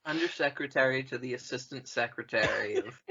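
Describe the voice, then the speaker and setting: A man's voice: mid-range voice, brisk, vocal fry, pushed and bright, slightly rough, highs cut off. A man chatting casually as a podcast co-host, swearing freely. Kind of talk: casual chat.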